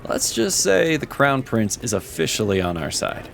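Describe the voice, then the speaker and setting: An actor playing a stern, protective officer in an audio fantasy drama, speaking in a curt, controlled, authoritative way. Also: male voice, mid-range voice, wildly swooping pitch, normal speed, creaky, fairly bright tone, faint music behind, exaggerated voice. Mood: measured, urgent.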